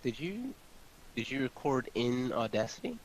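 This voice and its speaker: A man's voice: medium pitch, sing-song, moderate pace, slight background noise, poor audio. A man chatting casually as he directs and edits voice recordings, speaking informally and often saying "like". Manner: informal, swearing, often says "like". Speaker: voice-over director and audio editor. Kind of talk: casual collaborative work chat.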